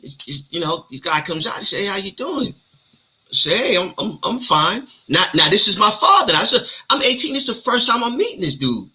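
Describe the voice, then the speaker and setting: Man, 30s, New York accent, streetwise storyteller, very bright and lively. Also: sits high, brisk. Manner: streetwise slang, animated retelling. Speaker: co-host telling his story. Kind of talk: casual storytelling interview.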